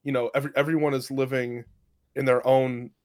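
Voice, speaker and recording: A man's voice: mid-range voice, little pitch movement, fairly quick. A young man in a casual podcast chat, musing at length and leaning on many fillers. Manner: rambling, reflective, many fillers. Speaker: podcast co-host.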